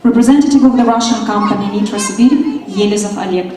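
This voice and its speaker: A woman's voice: mid-range voice, fast talker, a crowd in the background. A woman interprets speeches and introduces guests in short, formal lines. Her voice carries through a very echoey hall. She sounds distant from the microphone. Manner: brief, formal announcements. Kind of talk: event interpreting.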